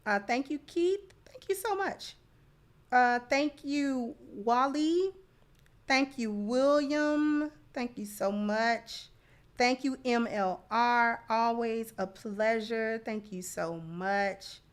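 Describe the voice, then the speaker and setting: A woman's voice: fairly high pitch, takes it slowly. A woman hosting a livestream, chatting casually and giving commentary to her supporters. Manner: effusive in thanking supporters. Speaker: livestream host.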